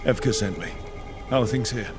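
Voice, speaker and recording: A man's voice: medium pitch, normal speed, over music, lightly acted. An actor playing an earnest, can-do young male hero in a video game. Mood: eager. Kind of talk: dramatic video game acting.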